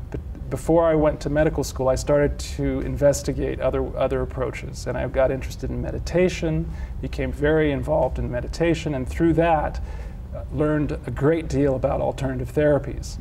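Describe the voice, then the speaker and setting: Calm, mellow-voiced man explaining patiently. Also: mid-range voice, gravelly, a little hiss or hum, low-quality recording. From Switzerland. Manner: measured, explanatory, with frequent restarts. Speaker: physician and homeopath guest. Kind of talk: interview answers.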